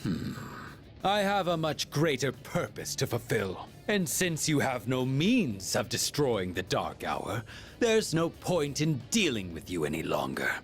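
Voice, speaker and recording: A man's voice: mid-range voice, big pitch swings, a little slow. An actor playing a cold, contemptuous male villain in a video game, speaking in a theatrical, condescending manner. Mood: cold disdain. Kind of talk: dramatic video game voice acting. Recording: music under the voice.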